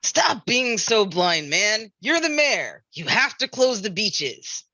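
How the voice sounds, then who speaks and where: A woman's voice: mid-range voice, very animated, takes it slowly, sharp tone, heavily acted. A woman reading the part of a worried, exasperated beach-town sheriff in a musical comedy table read, pointed and incredulous. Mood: urgent, reproachful.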